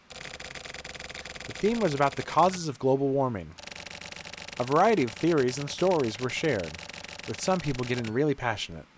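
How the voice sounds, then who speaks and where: Male narrator, mid-range voice, reads story text with measured drama; noticeably noisy recording. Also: medium pitch. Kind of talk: dramatic read-aloud of game narration.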